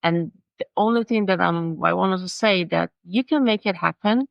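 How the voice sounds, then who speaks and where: Interview guest, middle-aged woman, Persian accent, Farsi native, lowish voice, talks haltingly over a video call. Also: gravelly, dry recording, dull audio. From Iran. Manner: halting, searching for words, frequent restarts. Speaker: guest, designer and language coach. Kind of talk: casual interview conversation.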